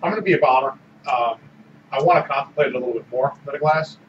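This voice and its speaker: A man's voice: medium pitch, fast. Roomy, far-off man tasting beer. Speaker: podcast co-host. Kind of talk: casual beer-tasting chat. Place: small room with orange walls. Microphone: desk mic on boom arm. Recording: roomy, distant, dull with loud background hiss.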